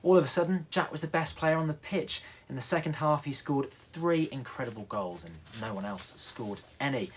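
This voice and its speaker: A man's voice: on the high side, moderate pace, hardly any pauses, slight background noise. A male radio newsreader narrating a children's bedtime story.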